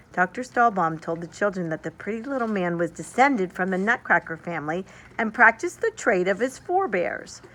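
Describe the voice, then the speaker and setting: A woman reading a children's story aloud like a teacher, leaning deliberately on descriptive words. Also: lowish voice, fairly bright tone.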